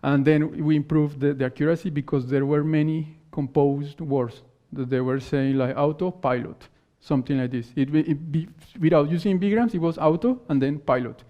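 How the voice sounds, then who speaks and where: Man speaking non-native English, halting. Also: fairly high pitch. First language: not English. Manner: explains in halting phrases, often restarting words. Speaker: conference speaker. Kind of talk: technical talk and Q&A answers. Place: conference hall stage. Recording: dull lo-fi conference recording.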